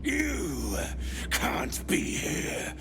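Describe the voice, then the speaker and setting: A man playing a menacing werewolf guard in a video game, growling short broken phrases in a rough, breathy voice. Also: sits high, huge pitch swings, extremely slow, heavy background noise, full character voice. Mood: threatening. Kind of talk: video game creature acting. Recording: music behind the voice. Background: music.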